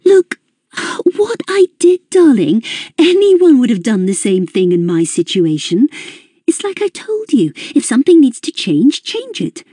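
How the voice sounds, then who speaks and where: A woman's voice: high-pitched, dark tone, dry recording, studio quality, voice pushed up. A woman voicing a manipulative middle-aged mother, condescending and mocking in a lilting, sweetly cruel tone. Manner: mocking, condescending, sing-song.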